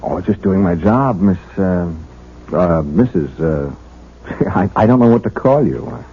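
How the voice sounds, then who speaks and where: An actor playing an earnest, bashful young patrolman in a 1970s radio drama, hesitant and folksy, with a husky voice. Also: low voice, expressive, a little slow, full character voice. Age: young adult. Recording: thin, phone-like old radio broadcast.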